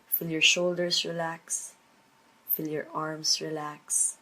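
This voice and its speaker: A woman leading a guided meditation, giving measured instructions with pauses between phrases. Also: low voice, rough recording. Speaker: meditation teacher.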